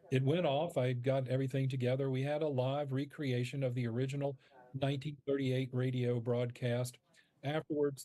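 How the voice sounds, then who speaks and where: Mellow-voiced man, explaining in measured phrases. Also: mid-range voice. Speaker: radio producer and guest interviewee. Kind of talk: explanatory interview talk.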